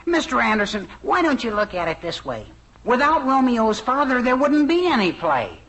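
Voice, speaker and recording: A man's voice: very high-pitched, very animated, moderate pace, fairly bright tone, full character voice, low-quality recording. A young man playing a polite, earnest teenage boy in a 1950s radio sitcom, deferential and a little stiff. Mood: polite, eager.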